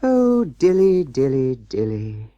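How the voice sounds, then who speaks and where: A storybook narrator voicing a hungry, vexed cat, plaintive and drawn-out. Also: high voice, wildly swooping pitch, dry recording, lo-fi sound, voice pushed up, heavily acted. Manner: drawn-out, plaintive mewing and sighing. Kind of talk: storybook character voice.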